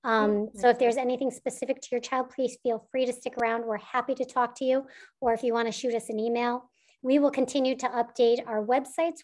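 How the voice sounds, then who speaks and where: A woman school administrator answering parents' questions in an online information session, reassuring and with frequent ums. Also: a little high.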